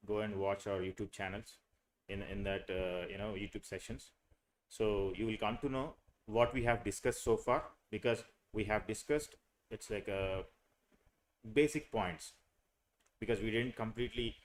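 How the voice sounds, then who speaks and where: A man's voice: on the low side, a little hiss or hum. A young man, teaching a live online class on a video call, hesitant with many fillers and restarts. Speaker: online course instructor.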